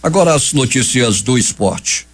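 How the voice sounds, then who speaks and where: A man's voice: medium pitch, lively intonation, measured pace, breathy edge. A Brazilian man reading scripted news and sports items as a radio presenter in a studio.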